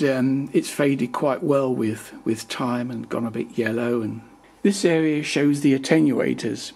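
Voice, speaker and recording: An older man talking casually and technically about his home loudspeakers, with wry, plain-spoken asides, recorded on a camera's built-in microphone in a living room. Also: medium pitch, creaky, slightly rough. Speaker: hobbyist reviewer.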